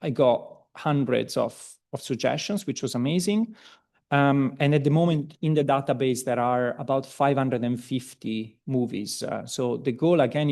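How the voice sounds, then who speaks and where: An Italian-accented man presents at an academic seminar, his speech full of ums, restarts and relaxed, self-deprecating asides. His voice has a gravelly, creaky edge and sits at a middle pitch. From Italy. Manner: hesitant, many ums and restarts. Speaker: seminar speaker.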